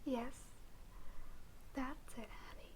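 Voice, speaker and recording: A woman playing a scheming alien who poses as a sweet, awkward human girlfriend in an intimate roleplay audio, cool and halting, with murmured asides. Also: sits high, steady tone, in a whisper. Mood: sweet, doting.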